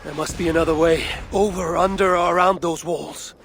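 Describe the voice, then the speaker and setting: An actor playing a blunt, determined Norse warrior with terse, resolute delivery in a dramatic video game. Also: male voice, fairly high pitch, steady tone, normal speed, a bit bright, soft background music. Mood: urgent. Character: determined, blunt Norse raider warrior seeking to end bloodshed.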